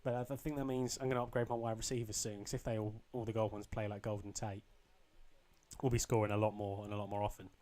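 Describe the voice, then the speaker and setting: A young man with a slightly croaky voice, casually commentating his own football video game match, rambling with ums and restarts. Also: on the low side, little pitch movement, fast, darkish tone. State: a bit croaky. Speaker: gaming channel host.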